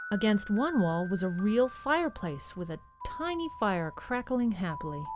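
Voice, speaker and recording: A woman narrating an audio drama as a gentle, earnest storybook storyteller. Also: medium pitch, close to an everyday voice. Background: music.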